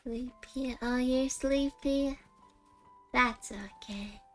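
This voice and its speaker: A woman's voice: high-pitched, drawn-out words, fairly bright tone. A woman hosting a livestream, chatting casually in a cutesy manner. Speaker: livestream host, game streamer. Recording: background music under voice. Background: music.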